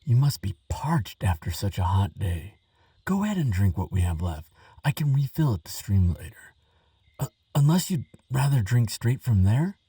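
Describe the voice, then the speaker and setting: A man playing a nervous, kindly, chatty researcher in an audio roleplay, hesitant and gentle, rambling with small stammers. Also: lowish voice, sing-song, moderate pace, breathy edge, no room sound, far from the mic, exaggerated voice. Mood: gentle, fussing. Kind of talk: audio roleplay monologue addressed to the listener.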